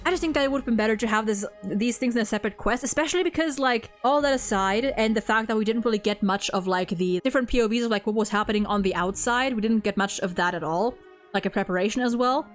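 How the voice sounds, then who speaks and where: A woman talking casually about a game she plays, in an animated, gushing manner and acting out quotes as she goes. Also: a little high, fast, soft background music. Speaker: co-host ranking game story quests. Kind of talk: casual gaming chat.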